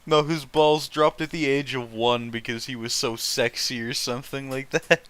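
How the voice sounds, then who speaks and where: A man's voice: medium pitch, fairly even intonation, normal speed, fairly bright tone, clear, resonant tone. A man casually reading fan fiction aloud and mocking it with sarcastic, sweary, amused commentary.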